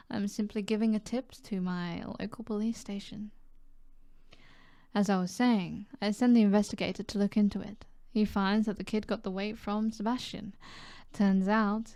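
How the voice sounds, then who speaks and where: A woman with a British accent voicing a seductive, self-assured mob boss in an ASMR roleplay, soft and flirtatious, often dropping to a whisper. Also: medium pitch, no room sound, clean studio sound, close to an everyday voice. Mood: smug, businesslike. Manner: flirtatious, teasing, unhurried.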